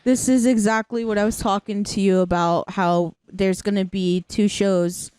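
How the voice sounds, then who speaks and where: A woman chatting casually as a podcast co-host, expressive, slangy and playfully dramatic. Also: mid-range voice, normal speed, clean tone, no echo. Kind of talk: casual chat.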